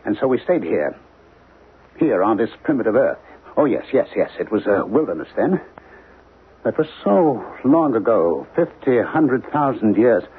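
An actor in a 1970s radio drama playing an earnest, obsessed professor who speaks in a halting, insistent way. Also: male voice, lowish voice, sing-song, breathy edge, exaggerated voice. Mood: fervent, urgent. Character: earnest, obsessed male professor haunted by ancient memories. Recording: lo-fi narrowband radio recording with hiss.

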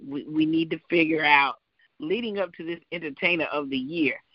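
A woman's voice: low-pitched, normal speed, no room sound, lo-fi sound. A woman from Carolina, co-hosting a casual radio interview in a gushing, emphatic way. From Carolina, United States. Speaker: co-host and interviewer.

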